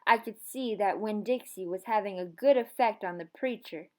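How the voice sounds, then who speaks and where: A woman teacher reading a storybook aloud and talking to her students in an expressive, encouraging way. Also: medium pitch, moderate pace, poor audio. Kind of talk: storybook read-aloud and lesson to camera.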